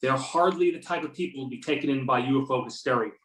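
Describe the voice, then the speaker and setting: A man's voice: mid-range voice, fast, fairly bright tone, close to an everyday voice. A man playing an earnest, argumentative agent who believes in UFOs, reading in an amateur readers theater over a video call. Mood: assertive. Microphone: video or conference call. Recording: roomy, distant video-conference microphone.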